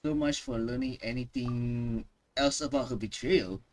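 A man's voice: mid-range voice, huge pitch swings, measured pace, vocal fry, a little mellow, faint music behind. A man giving casual game reaction commentary as a streamer, with wry, mocking asides.

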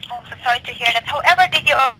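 A woman with a highish, bright voice handles a customer service call. She is polite and hesitant, and the phone line carries some noise. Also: rapid-fire. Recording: noisy telephone line.

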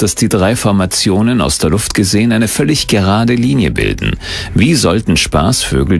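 A man's voice: deep voice, creaky. A man reading scripted narration for a documentary.

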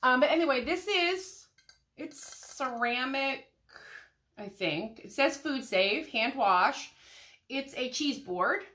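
A middle-aged woman with an American accent talks to camera in a high, bright voice. She sounds a little stuffed up with a cold. Her delivery is casual and chatty, wandering into asides like a home vlogger. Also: lively intonation, slow. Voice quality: slightly stuffy, congested. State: has a head cold. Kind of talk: casual chatty shopping haul. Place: small home craft room, indoors.

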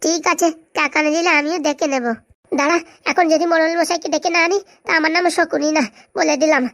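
Performer doing a shrill, rapid-fire scolding villager. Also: fairly even intonation, fairly bright tone, no echo, clean studio sound. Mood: quarrelsome. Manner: scolding, colloquial, combative. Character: bossy, scolding, hot-tempered cartoon villagers, quick to quarrel. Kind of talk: comic cartoon dialogue.

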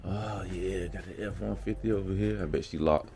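A very deep, low man in his forties chats easily to a handheld camera, relaxed and full of slang. Soft music plays faintly under his voice. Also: moderate pace. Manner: laid-back, slangy, says "man" and "y'all" often. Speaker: family vlogger. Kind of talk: casual vlog chat. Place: outdoors at a garage, then a dining room, then a car.

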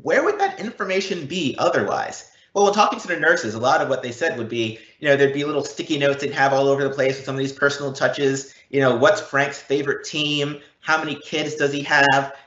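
A man giving a live software demo in a conversational, explanatory way. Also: on the high side, fairly quick, hardly any pauses. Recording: dull lo-fi, roomy web stream audio.

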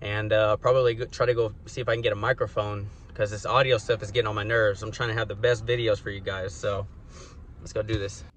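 A man's voice: lowish voice, level tone, quick pace, fairly bright tone. A man in his twenties vlogging casually to a phone camera at arm's length, chatty and laid-back with loose fillers. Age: 20s. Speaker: road trip vlogger. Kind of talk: casual vlog talk to camera. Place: inside a pickup truck and a motel room. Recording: distant mic with road noise.

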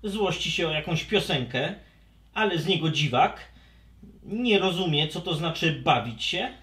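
A woman's voice: deep voice, lively intonation, unhurried, a bit bright, reverberant. A woman playing a young, playful, hot-tempered male dragon in a children's audio story, with theatrical exclamations.

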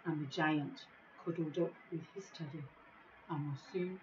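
A middle-aged woman reading a children's storybook in a measured, expressive way, recorded on a device microphone in an office. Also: sits low, muffled recording, a little hiss or hum. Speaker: school staff member reading a bedtime story. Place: small office, indoors.

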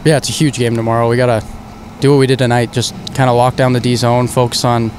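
A man's voice: mid-range voice, level tone, fast, a little mellow, slight background noise. A young man, a hockey player, giving short laid-back postgame answers full of "kinda" beside the rink. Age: young adult. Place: indoor hockey arena rinkside. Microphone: handheld mic held by interviewer.

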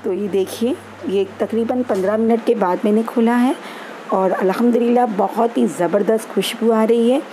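A woman hosting a home cooking channel, calmly explaining each step of a recipe in an easygoing, instructive way. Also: a little high, slight background noise, poor audio. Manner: easygoing and instructive, repeats steps. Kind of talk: home cooking tutorial voice-over. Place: home kitchen.

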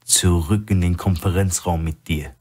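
A man's voice: low-pitched, steady tone, moderate pace, a little mellow. A man voicing a menacing male bank robber boss who gives curt, threatening orders in a thriller audiobook. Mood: commanding.

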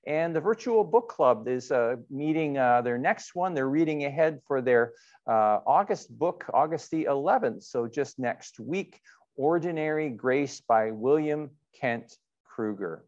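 A middle-aged man, a pastor speaking conversationally and a little haltingly as he leads online church worship. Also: medium pitch, animated, a little mellow, lo-fi sound. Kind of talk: informal church announcements and worship leading.

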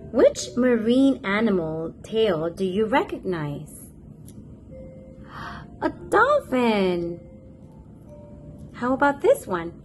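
A woman reading a picture book aloud to toddlers in a playful, expressive, sing-song manner. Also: a little high. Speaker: children's picture book narrator, host.

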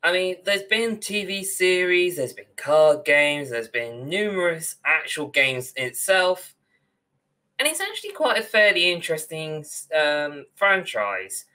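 A young man chats as co-host of a casual podcast on a video call. His voice is bright and very animated in pitch. He takes his time, rambling through his points at a slow pace. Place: small room at home, on a video call.

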